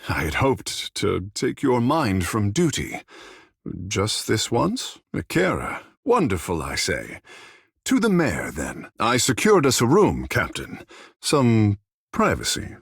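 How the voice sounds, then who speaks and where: An actor voicing a vain, flirtatious sea-folk water shaper in a video game, with theatrical, languid, florid delivery. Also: male voice, lowish voice, wildly swooping pitch, slow, a bit bright, breathy edge, dry recording, studio quality, full character voice. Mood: flirtatious, inviting. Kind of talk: video game character dialogue.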